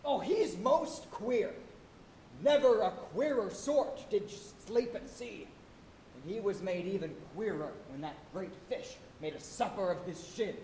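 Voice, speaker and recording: A man's voice: sits high, expressive, mellow, slight background noise. A man playing a jovial, fearless, teasing whaling second mate, in theatrical, old-fashioned sailor speech. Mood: wry storytelling. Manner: jesting, rhetorical, unhurried. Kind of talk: theatrical stage acting, archaic sailor speech. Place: college auditorium stage, live performance. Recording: echoey theatre stage.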